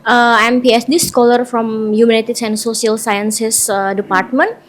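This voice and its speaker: A woman's voice: fairly high pitch, flat delivery, moderate pace, a little hiss or hum. A young woman, a PhD scholar introducing herself hesitantly with many fillers. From Indonesia. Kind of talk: self-introduction.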